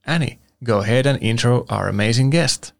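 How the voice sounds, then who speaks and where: Man co-host, formal and scripted delivery, average pitch. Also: a little fast, creaky. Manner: formal, reads scripted lines. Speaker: podcast co-host. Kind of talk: podcast hosting, partly scripted.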